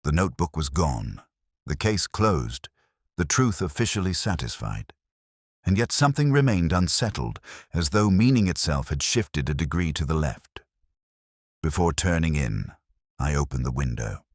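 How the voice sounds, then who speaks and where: A man performs a melancholy middle-aged police inspector narrating his own detective drama. His voice is extremely deep and moves expressively up and down. The delivery is measured, reflective and literary, recorded close and dry. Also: no room sound, heavily acted. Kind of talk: first-person scripted detective drama narration.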